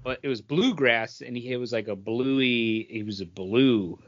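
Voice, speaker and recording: A man co-hosting a podcast, telling casual nostalgic stories in an animated, slangy way and acting out quotes. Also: mid-range voice, a little slow, muffled recording. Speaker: podcast co-host. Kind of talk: casual chat, nostalgic anecdotes.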